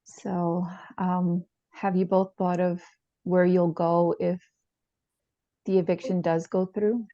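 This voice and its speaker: A woman playing a calm, probing therapist in a dramatized session, asking measured, tentative questions. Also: lowish voice, no echo. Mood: calm, inquiring. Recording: dull lo-fi sound.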